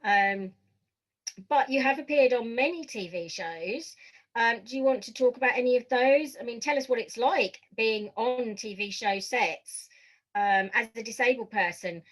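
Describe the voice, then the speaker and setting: A woman's voice: on the high side, normal speed, poor audio. A woman hosting a podcast, asking questions in a conversational interviewing style.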